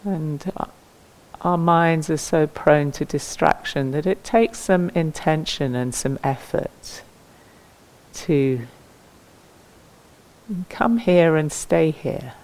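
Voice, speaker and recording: A woman teaches meditation in a gentle, unhurried way. Her phrases come slowly with long pauses between them, and the voice often settles into a soft creak. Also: low-pitched, rough recording. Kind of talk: guided meditation.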